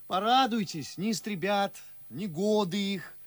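Proud young gentleman, high-pitched, sardonic. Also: slow, no room sound. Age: young adult. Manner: biting, declamatory. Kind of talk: radio play acting in verse.

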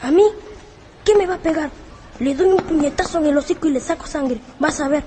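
A woman's voice: high-pitched, fairly quick, mellow, slight background noise, close to an everyday voice. A woman playing a cocky, boastful little schoolboy with childlike, defiant bravado in a radio drama.

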